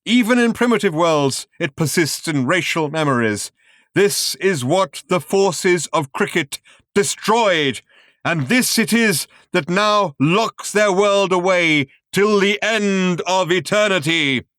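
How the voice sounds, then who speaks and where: A man voicing a bombastic showman orator from a galactic spectacle in a science fiction audiobook, grandiose, drawn-out and sentimental, with a thunderous delivery. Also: sits high, expressive, fairly bright tone, no room sound, studio quality, voice pushed up, exaggerated voice. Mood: reverent, dramatic.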